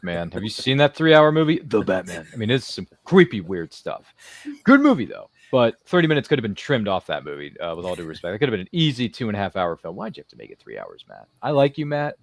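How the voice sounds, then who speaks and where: A man's voice: on the low side, no echo. A man hosting a casual live interview show, reading viewer comments and throwing in slangy, theatrical, joking asides. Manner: jokey, slangy, theatrical asides to people not present.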